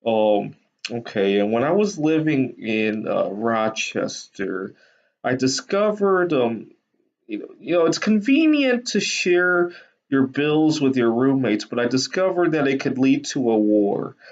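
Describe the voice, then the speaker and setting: A man from Taiwan casually telling personal anecdotes to viewers in a hesitant, halting way with frequent ums. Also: medium pitch, sing-song. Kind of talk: casual rambling storytelling. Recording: dull lo-fi sound.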